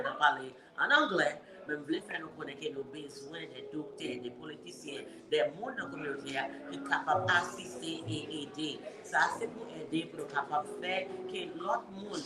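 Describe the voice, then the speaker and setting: A woman hosting an on-location interview, speaking in an upbeat, emphatic way. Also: low-pitched. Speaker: event interviewer and podcast host. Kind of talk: on-location interview, switching between English and Creole. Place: fundraiser event venue. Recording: echoey room with loud music.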